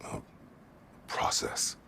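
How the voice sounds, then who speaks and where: An actor playing a stern, weary, remorseful warrior in a video game, speaking tersely and gravely in a growl. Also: male voice, extremely slow, a little hiss or hum, exaggerated voice. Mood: somber, resolved. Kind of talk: dramatic video game acting. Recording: loud music under the voice.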